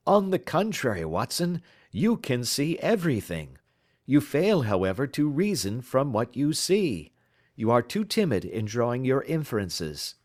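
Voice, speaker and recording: A man voicing a brilliant, self-assured detective in an audiobook, lecturing crisply and precisely with a teasing edge. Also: medium pitch, very animated, measured pace, darkish tone, pitched above the performer's own voice. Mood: analytical, assured. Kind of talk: audiobook character dialogue.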